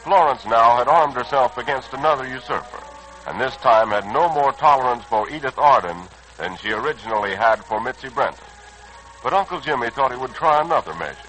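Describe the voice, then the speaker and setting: A male announcer reads scripted narration for a 1940s radio serial. His voice is mid-pitched, formal and dramatic, with faint music behind him. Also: animated, brisk, a bit bright, low-quality recording. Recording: old 1940s radio recording.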